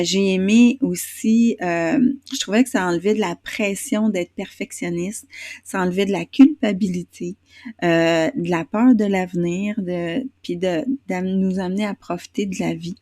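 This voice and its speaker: A woman with a Québécois French accent hosting a casual interview, chatty and a little hesitant, pausing on fillers. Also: medium pitch, warm tone, no room sound, clean studio sound. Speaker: interview host.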